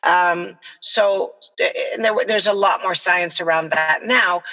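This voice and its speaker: A middle-aged woman from El Salvador talks conversationally as an interview guest, explaining things earnestly and reflectively. Her voice is bright and forward with noticeable creak. The recording is reverberant and a little dull, like an online call. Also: lowish voice, slow. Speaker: nonprofit co-founder, podcast guest.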